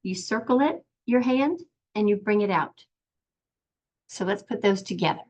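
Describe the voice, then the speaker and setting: An older woman patiently teaching young children in simple, step-by-step phrases, in the gentle style of a preschool lesson. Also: mid-range voice, animated, moderate pace, highs cut off. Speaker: children's sign language and song teacher.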